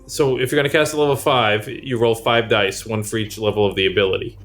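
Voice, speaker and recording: A man's voice: fairly low pitch, steady tone, brisk, fairly bright tone. A man running a tabletop game, narrating action and explaining rules in a casual, conversational way. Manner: informal, conversational. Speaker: game master.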